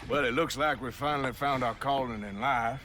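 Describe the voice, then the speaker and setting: An actor playing a weary, disillusioned outlaw gunman in a video game, speaking plainly and gravely with a rural American drawl. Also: mid-range voice, a little fast, noisy background, natural voice. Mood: wry, resigned. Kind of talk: dramatic video game cutscene acting.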